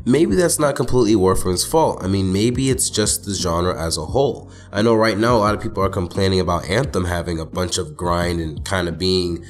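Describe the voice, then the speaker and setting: A young man with a hoarse voice from being sick, talking casually and jokingly as a gaming YouTuber. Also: low voice, brisk, hardly any pauses. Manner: casual, jokey, slangy. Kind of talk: casual commentary video. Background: background music.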